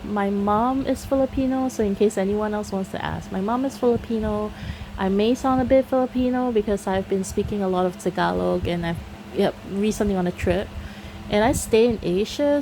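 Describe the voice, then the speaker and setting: A woman's voice: medium pitch, creaky, dark tone, a little hiss or hum, rough recording. Chatty Singaporean woman gamer. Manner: chatty, frequent fillers like "you know"; laughs often. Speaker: mobile game streamer. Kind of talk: casual chat to viewers.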